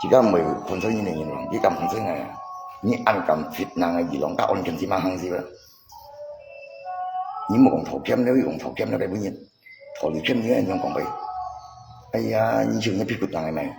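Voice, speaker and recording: A man's voice: deep voice, moderate pace, creaky, pauses often. A man chatting casually on a livestream, in an agitated, argumentative way, often repeating himself. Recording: echoey reverberant space, music behind.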